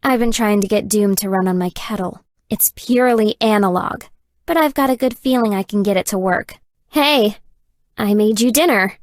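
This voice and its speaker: A woman voicing a dry, deadpan, nerdy young gamer girlfriend in a dating game. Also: mid-range voice, normal speed, no echo, lightly acted. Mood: dry, sardonic. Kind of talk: scripted dating game character dialogue.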